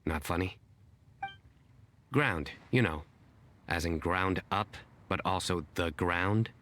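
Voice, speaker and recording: An actor playing a young adventurer who tells bad puns in a dry, deadpan way in a game. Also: male voice, low-pitched, slow pace, slight background noise. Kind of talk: game voice acting.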